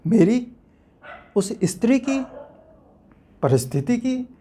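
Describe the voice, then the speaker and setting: An actor performs an enigmatic man in a stage monologue, mid-pitched for a male or a little above. He is philosophical and unsure of himself, putting rhetorical questions to the audience. His delivery is reflective, with deliberate pauses. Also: very animated, dark tone, slight background noise, full character voice. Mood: brooding, questioning.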